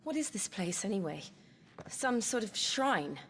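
A woman playing a calm, curious young adventuring companion in a video game, with a measured, quietly questioning manner. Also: mid-range voice, a little hiss or hum. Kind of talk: video game cutscene acting.

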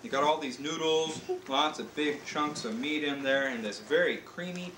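A man's voice: fairly high pitch, normal speed. An American man in his thirties playfully presents a casual cooking demonstration, explaining it step by step as a father hosting a home cooking show. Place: home kitchen, indoors. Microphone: camcorder mic a few feet away. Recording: noisy, echoey home camcorder audio.

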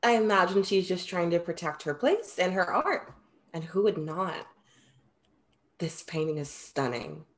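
A young woman playing a composed, perceptive muse in a staged play reading, with measured, formal diction. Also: lowish voice, animated. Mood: calm. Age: young adult. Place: small room at home, video call. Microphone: video call.

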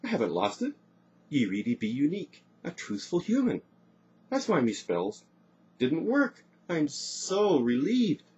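A man's voice: fairly low pitch, expressive, moderate pace, breathy edge, lo-fi sound. A man voicing a proud, volatile, centuries-old sorceress with grandiose archaic dialect in a storybook tale. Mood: relieved.

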